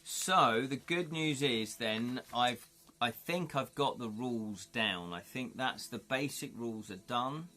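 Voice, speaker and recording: A man's voice: medium pitch, a little slow, sharp tone, soft background music. Chatty man rambling on stream. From United Kingdom. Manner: rambling, chatty, thinks aloud, often says "you know". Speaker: livestream host. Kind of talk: casual chat with viewers.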